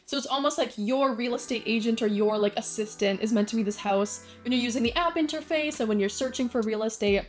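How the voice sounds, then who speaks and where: A woman's voice: fairly high pitch, fairly quick, hardly any pauses, faint music behind. A young woman vlogging casually about brand design, chatty and full of fillers, with self-deprecating asides.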